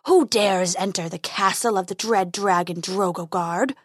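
A woman voicing a huge, menacing male dragon who speaks in slow, booming, drawn-out lines. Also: no echo, clean studio sound, lightly acted. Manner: booming, drawn-out, talks of eating people.

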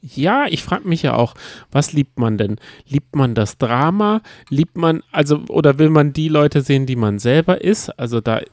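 A man's voice: on the high side, lively intonation, moderate pace, hardly any pauses, darkish tone, no echo. Casual man, dry close sound. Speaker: podcast co-host. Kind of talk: casual chat about reality TV.

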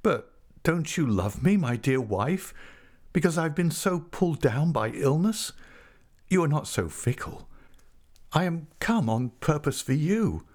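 A man's voice: a little high, sing-song, a little slow, pitched above the performer's own voice. A man voicing a gaunt, remorseful husband who pleads earnestly and tenderly, in a dramatised audiobook of a classic novel. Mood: imploring. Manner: earnest, imploring.

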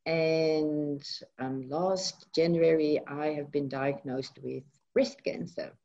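Woman, Dutch accent, very low pitch, mellow dark tone, earnest, hesitant, muffled radio sound. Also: fairly even intonation, slow pace. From Netherlands. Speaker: radio guest. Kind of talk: personal testimony in a radio interview.

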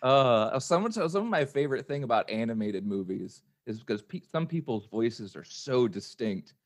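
A man's voice: mid-range voice, very animated, moderate pace, dry recording, clean studio sound. An adult man talking casually on a podcast, telling stories in an informal, chatty way. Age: adult with a young child. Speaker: podcast guest and voice actor. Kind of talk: casual chat.

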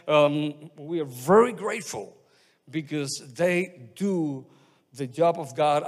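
A man with a heavy Spanish accent preaching a sermon as pastor, speaking haltingly with many restarts, fillers and amens. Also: on the high side. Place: church sanctuary. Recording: long reverb of a church hall.

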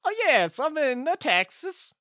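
A woman voicing a bumbling caller who claims to be from Texas but talks with a fake Swedish accent, halting and full of uhs. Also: high-pitched, very animated, bright, forceful tone, no echo, clean studio sound, voice pushed up, exaggerated voice. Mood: goofy. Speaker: podcast co-host.

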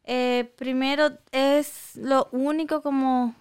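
A woman's voice: sits high, little pitch movement, smooth, no room sound, studio quality. A young Dominican woman with a Dominican Spanish accent, reminiscing unhurriedly in a casual chat. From Dominican Republic. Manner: unhurried, reminiscing with pauses and restarts.

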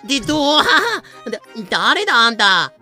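A woman playing a cheeky, excitable young character in an audio drama, theatrical and playful. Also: high voice, a little slow, soft background music, full character voice. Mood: reactive. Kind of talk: Japanese audio drama acting.

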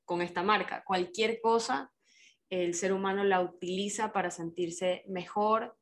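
A woman with a middle-pitched voice, chatting on a podcast. She agrees readily and speaks casually, with fillers and small restarts. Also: steady tone, a little slow. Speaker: podcast co-host. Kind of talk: reflective podcast conversation.

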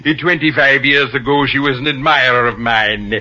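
An actor playing a vain, middle-aged washed-up matinee idol, florid and ingratiating, in an old radio drama. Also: male voice, mid-range voice, moderate pace, bright, forceful tone. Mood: eager, persuasive. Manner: florid, hammy, smooth-talking. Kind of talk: 1940s radio drama acting. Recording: muffled old radio recording.

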